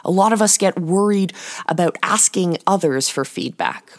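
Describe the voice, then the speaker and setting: A woman hosting a podcast, giving instructional tips in a deliberate, emphatic way with pauses. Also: fairly low pitch. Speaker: podcast host.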